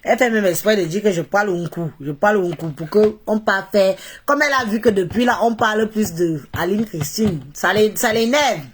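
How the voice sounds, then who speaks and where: A woman's voice: medium pitch, normal speed, few pauses. Female live streamer, Cameroonian accent, mid-range voice congested by a cold. Casual, opinionated, mocking delivery that hammers points by repetition. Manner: mocking, opinionated, repeats phrases for emphasis. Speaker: social media live streamer.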